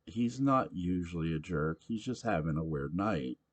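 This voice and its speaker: A man's voice: sits low, expressive, normal speed. Flustered young woman, read by a man, stammering and pleading, storybook reading.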